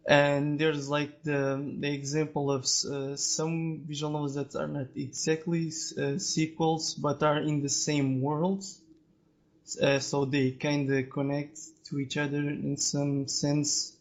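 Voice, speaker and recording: A man giving opinions as a podcast guest in a halting, hesitant way, searching for words. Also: fairly high pitch, little pitch movement. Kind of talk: casual discussion of opinions. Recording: dull, lo-fi voice-chat audio.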